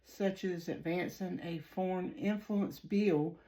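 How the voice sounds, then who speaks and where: Speak as a Southern woman, warm and mellow, haltingly reading notes with plenty of ums. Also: lowish voice, fairly even intonation, rough recording. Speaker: psychic channeler. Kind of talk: casual spiritual reading monologue.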